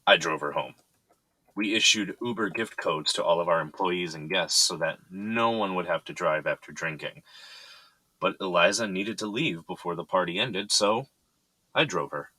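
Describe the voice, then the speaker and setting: A man's voice: low-pitched, moderate pace, sharp tone, no echo, rough recording. An actor playing a composed, evasive but polite businessman in an audio drama. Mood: guarded, defensive.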